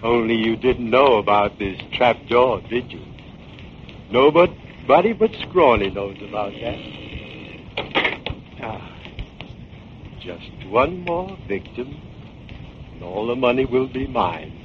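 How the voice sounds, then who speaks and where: A man's voice: mid-range voice, lively intonation, takes it slowly, pauses often. A man playing a sly, cringing, murderous crook in a 1940s radio drama. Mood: gloating, sinister. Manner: wheedling, obsequious, then gloating. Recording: muffled, noisy 1940s radio recording.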